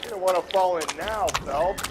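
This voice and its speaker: An actor playing a blunt, cynical 1940s American police detective in a video game, talking in wry street slang. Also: male voice, high-pitched, moderate pace, close to an everyday voice. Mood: teasing, calling out. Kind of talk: video game crime drama acting. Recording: music behind the dialogue.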